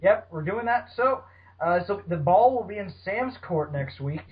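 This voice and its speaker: A man's voice: sits high, expressive, moderate pace. A man hosting a casual podcast chat, sarcastic and mock-dramatic, swearing freely. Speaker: podcast host. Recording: dull, lo-fi podcast audio.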